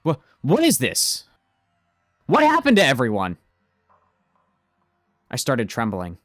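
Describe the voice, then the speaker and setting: A man's voice: mid-range voice, wildly swooping pitch, slow, fairly bright tone, no echo, studio quality, faint music behind, exaggerated voice. A man playing an anxious, earnest teenage schoolboy, narrating in the first person for an amateur game dub. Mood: panicked, angry.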